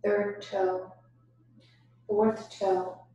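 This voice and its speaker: A woman leading a guided yoga nidra meditation as instructor, giving deliberate instructions broken by pauses. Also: mid-range voice, level tone, warm tone, muffled recording. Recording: very echoey room, distant microphone.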